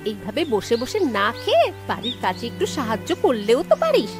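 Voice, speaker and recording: A woman's voice: fairly high pitch, huge pitch swings, moderate pace, music in the background. A woman voicing a cruel village aunt in a children's cartoon, scolding sharply and sarcastically. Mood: angry, sarcastic. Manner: harsh, sarcastic, shouting scolding.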